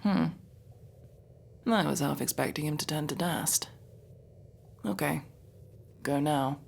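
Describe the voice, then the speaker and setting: Woman acting a low, creaky, slow-talking elf mercenary. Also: background noise, exaggerated voice. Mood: wry, scheming. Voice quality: creaky, often dropping to a breathy whisper. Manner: slow, halting, sweary. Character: guarded, tender masked tsundere elf mercenary woman. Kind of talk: intimate ASMR roleplay acting.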